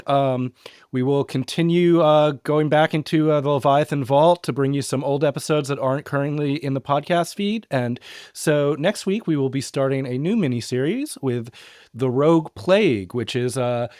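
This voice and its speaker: A man's voice: on the high side, normal speed, a little mellow, smooth, no echo. Man hosting a podcast, dry close sound. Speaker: podcast host introducing an audio drama episode. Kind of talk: casual scripted-free intro chat.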